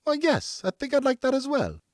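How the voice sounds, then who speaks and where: A man voicing a gentle, genteel, loving wife and mother in an audiobook story. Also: extremely high pitch, wildly swooping pitch, normal speed, no echo, clean studio sound, pitched above the performer's own voice, heavily acted. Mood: tender.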